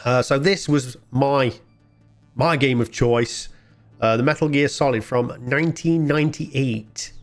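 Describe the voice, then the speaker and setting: A man with a mid-pitched voice gives off-the-cuff gameplay commentary. He is chatty and informal, rambling along as the host of a retro gaming channel. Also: a little slow, faint music behind.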